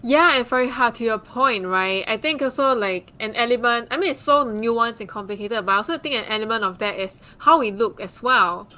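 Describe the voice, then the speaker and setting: Bright-voiced woman from Singapore. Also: on the high side, a little fast, hardly any pauses. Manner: earnest, frequent "like" and "um" fillers. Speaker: guest speaker, consultant and advocate. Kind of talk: livestream panel discussion.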